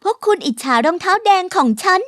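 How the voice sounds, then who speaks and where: A woman's voice: very high-pitched, lively intonation, brisk, very noisy. A woman voicing a stubborn, spoiled young girl in a dubbed cartoon, with an insistent, demanding manner. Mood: carefree. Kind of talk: cartoon dubbing.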